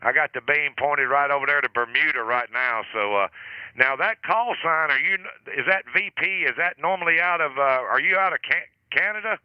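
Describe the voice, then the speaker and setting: A man acting as net control on an amateur radio net, chatting in a relaxed, friendly way and chuckling now and then. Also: a little high, moderate pace, clear, resonant tone, dry recording, lo-fi sound.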